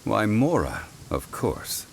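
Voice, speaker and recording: Wise, dignified ancient scholar, very low voice with wide melodic swings, formal and measured. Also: male voice, sing-song, creaky, a little hiss or hum, exaggerated voice. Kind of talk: video game voice acting.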